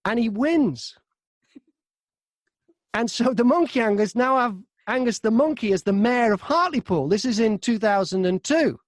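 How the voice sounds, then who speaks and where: A man with a British accent, an audiobook narrator, chatting and telling stories casually in an animated, chatty way. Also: extremely high pitch, normal speed, dry recording, clean studio sound. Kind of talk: casual interview storytelling.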